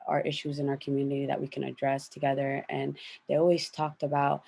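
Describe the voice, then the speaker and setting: A woman's voice: very deep voice, monotone, a little fast, gravelly, dark tone, breathy edge. A young woman speaking thoughtfully on a discussion panel, with plenty of fillers. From United States.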